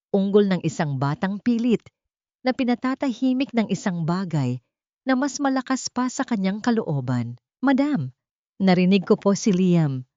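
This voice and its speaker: A woman's voice: medium pitch, a little mellow. A woman narrating a scripted audiobook drama in a dramatic storytelling style. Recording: muffled, echoey sound.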